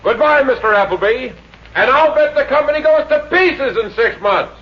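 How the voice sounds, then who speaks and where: A man's voice: very high-pitched, lively intonation, normal speed. A man playing a brash, glib young boyfriend who cracks wise in a 1950s radio comedy. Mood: cocky, defiant. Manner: cocky, glib. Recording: dull, hissy old radio recording.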